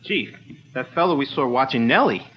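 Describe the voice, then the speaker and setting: A man's voice: mid-range voice, animated, moderate pace, very noisy, lightly acted. An actor playing an eager, plain-spoken male sidekick in a 1940s-style radio drama. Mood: alert, eager. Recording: dull lo-fi old radio recording.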